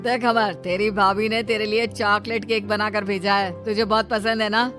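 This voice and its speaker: A woman's voice: mid-range voice, flat delivery, moderate pace, over music, close to an everyday voice. A woman voicing a young office-going husband in a cartoon moral story, with a pleading, easily exasperated manner. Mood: friendly, coaxing.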